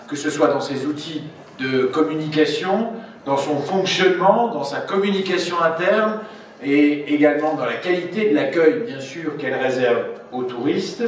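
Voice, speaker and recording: A man delivers a formal speech in a very reverberant room, with faint noise around him. His phrasing is deliberate and rhetorical, like a local official addressing a gathering. The microphone picks him up from some distance. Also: fairly high pitch. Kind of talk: formal ceremonial public speech.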